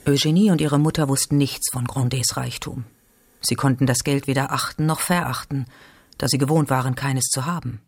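An actor playing a reflective literary narrator in a radio drama, reading scripted commentary. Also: male voice, mid-range voice, steady tone, normal speed, a little hiss or hum, close to an everyday voice.

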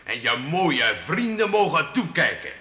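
Sharp, sneering cruel knight. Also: male voice, fairly high pitch, big pitch swings, a little slow, heavily acted. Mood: menacing, scornful. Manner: menacing, contemptuous. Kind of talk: historical radio drama acting. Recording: echoey room.

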